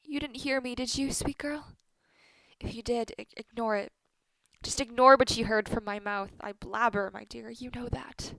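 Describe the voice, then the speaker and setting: A woman's voice: on the high side, fairly even intonation, moderate pace, creaky, fairly bright tone, dry recording, rough recording. A woman playing a blunt, sardonic middle-aged wife with a bitter tongue in a radio play. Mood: hushed, soothing.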